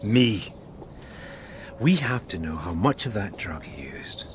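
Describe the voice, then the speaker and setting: A dogged male detective sergeant in a radio drama. He is deferential yet pointed, with a lowish, lively voice over faint ambient noise. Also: slow pace.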